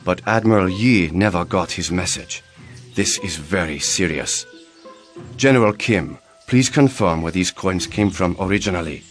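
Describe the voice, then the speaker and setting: An actor playing a stern, authoritative Korean prime minister in a dramatic video game cutscene. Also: male voice, low voice, normal speed, natural voice. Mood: grave. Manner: authoritative, formal, solemn. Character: stern, commanding Korean prime minister of war-torn kingdom. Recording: game audio with music underneath.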